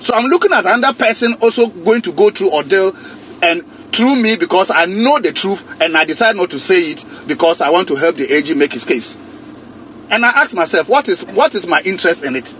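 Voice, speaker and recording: A muffled, noisy phone recording carries a man with a high, bright voice and a Ghanaian accent. He presses his explanation insistently, repeating words and phrases as he argues his point. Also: lively intonation, a little fast. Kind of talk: private phone conversation, explaining and arguing.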